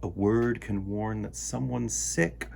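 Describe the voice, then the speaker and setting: A man's voice: on the low side, steady tone, takes it slowly, vocal fry, a little mellow, slight background noise. A man hosting a children's storytime, reading aloud and chatting expressively to young listeners in an enthusiastic, encouraging manner. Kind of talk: expressive read-aloud and chat addressed to children.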